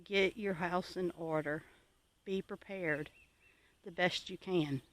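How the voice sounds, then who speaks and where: A homesteading channel host gives viewers advice in an earnest, exhorting and deliberate way. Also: medium pitch, steady tone.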